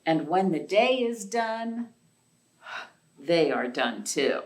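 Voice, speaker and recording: A woman leads a storytime for young children at a very unhurried, drawn-out pace. Her delivery is sing-song and full of pitch swings, with questions put to the little listeners. She is heard in an echoey room. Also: sing-song, slight background noise. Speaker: library storytime host. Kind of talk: children's read-aloud storytime with counting rhymes. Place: echoey indoor room, away from the mic. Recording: echoey room, distant microphone.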